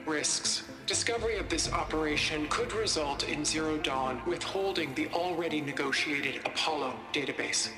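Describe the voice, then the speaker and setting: A performer playing a cool corporate briefing narrator in a video game, clipped, official and measured, in an echoey playback. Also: mid-range voice, close to an everyday voice. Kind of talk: formal scripted briefing narration. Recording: echoey playback, music underneath.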